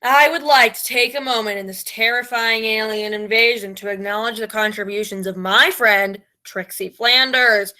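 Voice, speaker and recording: Woman acting a bright, theatrical radio-play announcer. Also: a little high, steady tone, normal speed, hardly any pauses, poor audio. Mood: grudging flattery. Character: earnest, melodramatic self-styled girl genius radio announcer. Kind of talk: melodramatic old-time radio play narration.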